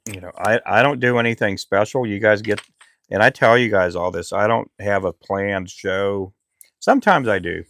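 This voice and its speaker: Middle-aged man, low and gravelly, easygoing rambler, dry close recording. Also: moderate pace, no room sound. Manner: relaxed, rambling, frequent fillers. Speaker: lure maker live streamer. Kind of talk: casual live stream chat.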